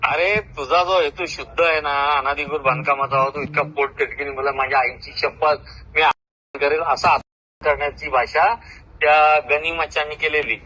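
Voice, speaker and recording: Muffled high-pitched, sharp-voiced older Maharashtrian man. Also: steady tone, normal speed, breathy edge. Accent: Marathi, Maharashtra. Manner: boastful, confrontational, talks at length. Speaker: retired government officer. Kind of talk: heated informal phone conversation. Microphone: phone call. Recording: muffled recorded phone call.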